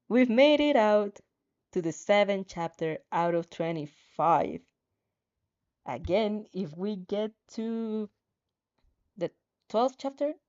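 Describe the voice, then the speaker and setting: Slow-paced, mellow female streamer. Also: mid-range voice, dry recording. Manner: giggly, rambling, self-interrupting. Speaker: streamer, fanfiction reader. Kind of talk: livestream reading aloud and casual chat. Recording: slightly muffled audio with music behind.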